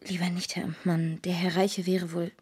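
Deferential, hesitant housemaid. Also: female voice, fairly low pitch, flat delivery, moderate pace, a little mellow, slightly rough. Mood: hesitant, recounting. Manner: respectful, hesitant, plain-spoken. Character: deferential, discreet housemaid giving testimony. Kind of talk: German period radio drama acting.

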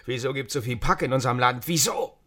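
An actor playing a bitter, agitated East German agent in a radio drama, volatile and fiercely loyal, ranting and repeating himself. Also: male voice, mid-range voice, fast talker, bright, forceful tone, natural voice. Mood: agitated, despairing. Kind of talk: naturalistic radio drama acting.

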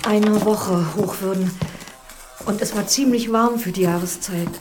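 A woman's voice: mid-range voice, unhurried, over music, lightly acted. A woman playing a village housekeeper in a radio drama, plain-spoken and deferential yet blunt. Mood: plain, reporting.

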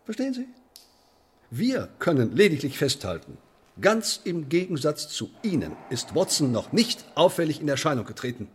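Pompous, animated sheriff. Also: male voice, fairly high pitch, normal speed, breathy edge, exaggerated voice. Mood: officious. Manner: pompous, pedantic, bureaucratic. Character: pompous, officious English sheriff interrogating a suspect. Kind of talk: radio play dialogue.

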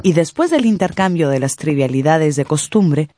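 Mellow, expressive woman storyteller. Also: deep voice, normal speed, slight background noise. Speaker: narrator. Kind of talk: dramatized literary storytelling for radio.

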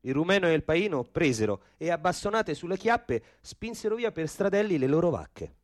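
An actor playing a wry, earthy Roman storyteller in a theatrical radio piece, with a Roman accent. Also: male voice, on the high side, big pitch swings, moderate pace. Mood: wry narrating.

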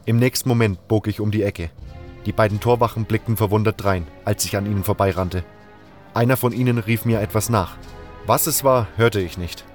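A man's voice: on the low side, steady tone, brisk, faint music behind. A man reading scripted first-person narration for a fantasy fan audio drama.

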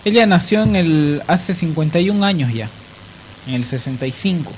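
Higher-voiced Bolivian man on radio. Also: lively intonation, normal speed, slight background noise, rough recording. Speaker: radio show panelist. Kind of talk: informal explanatory radio talk.

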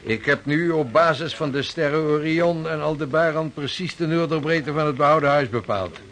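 An actor playing a composed, learned expedition navigator in a radio drama, speaking precisely and formally. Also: male voice, on the high side, normal speed, a bit bright.

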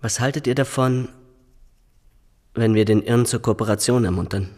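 An actor playing a calculating police investigator in a radio drama, speaking in a deliberate, persuasive way. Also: male voice, mid-range voice, darkish tone, lightly acted. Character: male police investigator calmly proposing a scheme. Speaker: police colleague. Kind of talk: German radio drama acting. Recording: reverberant room.

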